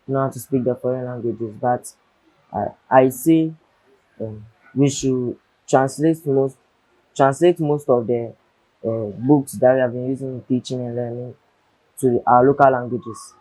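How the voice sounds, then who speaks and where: A man's voice: mid-range voice, dark tone, dry recording, low-quality recording. A young man student, answering questions hesitantly with many ums and a polite "yes, please". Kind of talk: answering in a discussion.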